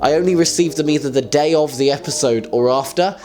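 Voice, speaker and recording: A man's voice: on the high side, a little fast, a little mellow, soft background music. A young man who hosts a fan community show. He talks in a casual, self-mocking way, laughs often, and sounds a little nasal from a cold. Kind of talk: casual solo video chat.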